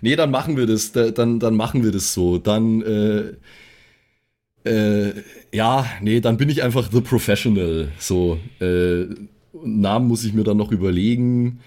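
A man in casual chat, haltingly thinking aloud and repeating himself as a podcast player. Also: fairly low pitch, a little mellow. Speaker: podcast player planning his character.

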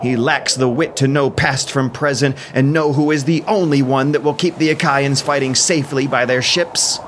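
A man's voice: medium pitch, fairly even intonation, moderate pace, a little hiss or hum, voice pushed up, lightly acted. A man voicing a proud young warrior hero, aggrieved and pleading, in impassioned, declamatory audio drama style. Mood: bitter, defiant. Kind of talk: audio drama acting of epic poetry. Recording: music behind the voice.

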